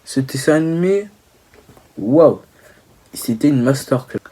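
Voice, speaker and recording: A young man giving casual, teasing video commentary, halting and correcting himself as he goes. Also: medium pitch, lively intonation, warm tone, poor audio. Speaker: video creator.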